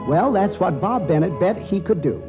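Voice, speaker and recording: Male host-narrator, high animated delivery, scripted vintage radio style, music behind, muffled. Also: normal speed.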